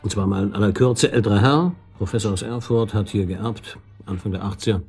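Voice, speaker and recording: An actor playing a shrewd police chief in a radio crime drama, dryly thinking aloud in colloquial speech. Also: male voice, lowish voice, fairly quick, over music, lightly acted. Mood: matter-of-fact. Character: shrewd, musing male police detective chief. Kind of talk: naturalistic German radio crime drama acting.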